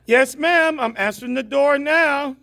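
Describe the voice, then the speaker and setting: A woman's voice: high-pitched, unhurried, fairly bright tone. A woman reading the part of a dutiful but cheeky housemaid in a stage play, polite and matter-of-fact. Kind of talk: stage play table read.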